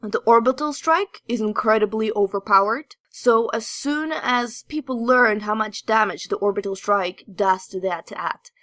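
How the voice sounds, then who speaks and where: A woman's voice: fairly high pitch, measured pace, hardly any pauses, a bit bright, no echo, studio quality. A woman co-hosting a podcast, chatting casually about games in a hesitant, rambling way. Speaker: podcast co-host. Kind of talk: casual gaming discussion.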